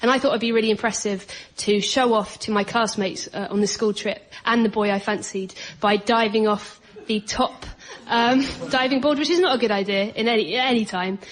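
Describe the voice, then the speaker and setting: A woman telling self-deprecating comic stories as a panel guest, a little halting. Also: on the high side. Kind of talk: comic anecdote and banter. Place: stage before a studio audience. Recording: live audience show, faint crowd noise.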